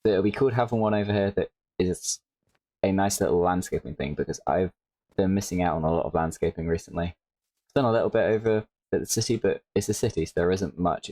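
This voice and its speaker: A man giving casual, rambling commentary over his gameplay, his voice a little hoarse. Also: low voice, darkish tone, dry recording. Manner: rambling, self-correcting, with frequent "like". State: slightly hoarse. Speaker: Minecraft creator.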